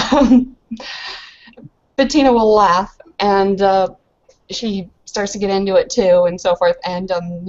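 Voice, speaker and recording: A woman casually narrating a tabletop roleplaying game in an informal, halting way, pausing to think. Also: medium pitch, a little mellow. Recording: dull lo-fi voice recording.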